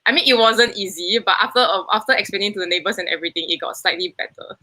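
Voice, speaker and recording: A woman's voice: mid-range voice, fast talker, pushed and bright, slight background noise. A young woman with a Singaporean accent, a student film producer answering interview questions in a rambling, self-correcting way.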